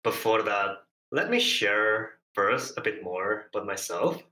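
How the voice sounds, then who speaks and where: Earnest man, low and bright. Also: very animated. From Indonesia. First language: Hokkien. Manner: earnest, measured, leans on "like". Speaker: guest, engineer. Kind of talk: reflective personal storytelling. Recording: echoey room.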